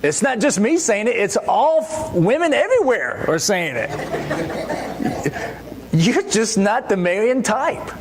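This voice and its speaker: A mid-pitched preacher talks through a church sermon as if chatting with friends. The delivery keeps turning to the congregation with rhetorical questions. A faint hiss sits under the voice. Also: sing-song, takes it slowly. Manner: conversational, full of rhetorical questions.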